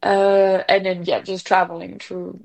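A woman's voice: on the low side, completely flat delivery, unhurried, gravelly, a little mellow. A woman in her thirties from Belgium, a native French speaker with a Belgian French accent, chatting as an interview guest with many fillers and restarts. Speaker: executive director, guest. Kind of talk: casual interview chat.

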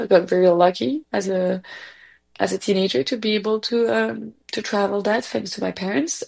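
Belgian woman, french accent, creaky voice, chatty interview answers, kinda searching for words as she goes. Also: mid-range voice. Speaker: community organization representative, interviewee.

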